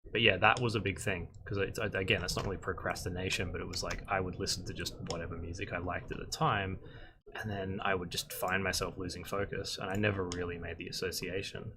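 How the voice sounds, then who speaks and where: A young man, a concept artist and instructor, chatting casually and rambling in a flat monotone while he works on a livestream. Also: deep voice, fairly quick, background noise. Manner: monotone, rambling with many fillers.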